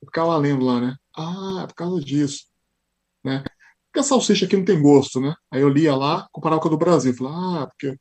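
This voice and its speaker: Give me a lively Paulista man with a husky voice and a rapid pace, chatting as a guest on a podcast. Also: fairly high pitch. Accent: São Paulo Portuguese. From Brazil. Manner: animated storyteller, acts out quoted dialogue. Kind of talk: casual interview chat.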